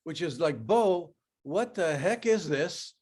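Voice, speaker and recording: A man's voice: fairly high pitch, expressive, slow pace, darkish tone. An older man, a native Ukrainian speaker, telling a personal business story in a casual conversational way. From Europe, raised in North America. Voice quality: conversational, storytelling, frequent fillers. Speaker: network marketing speaker. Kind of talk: personal story told to an audience.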